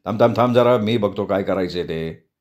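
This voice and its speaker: A man doing a calm low-voiced detective. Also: lightly acted. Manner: low, measured, commanding. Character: calm male detective, steady and firm.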